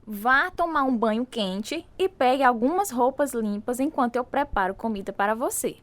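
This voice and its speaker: An actress playing a caring, anxious wife in a radio drama, with a Brazilian accent and a reassuring, pleading way of talking. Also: female voice, a little high, animated, moderate pace, music in the background, close to an everyday voice. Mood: relieved, caring. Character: caring, anxious, encouraging wife of a troubled man.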